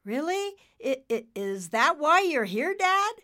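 A woman voicing a young male storm cloud in a story, an eager, excitable son who stammers and sounds incredulous. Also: sits high, unhurried, pitched above the performer's own voice, full character voice. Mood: nervous, insistent.

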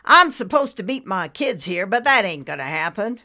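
A woman reading aloud, voicing a thin, balding man who talks in a gruff, stubborn, colloquial way. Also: mid-range voice, big pitch swings, moderate pace, pushed and bright, voice pushed up. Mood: grumbling. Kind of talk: storybook character voice.

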